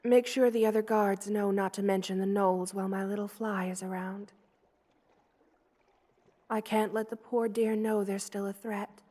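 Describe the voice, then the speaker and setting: A woman's voice: mid-range voice, fairly even intonation, normal speed, no room sound, close to an everyday voice. A woman playing a kind, protective spider queen with a tender, formal manner in an ASMR roleplay. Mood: quietly commanding. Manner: tender, doting, softly commanding, formal wording. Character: motherly female spider queen, kind, protective, regal monster. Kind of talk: ASMR roleplay audio drama.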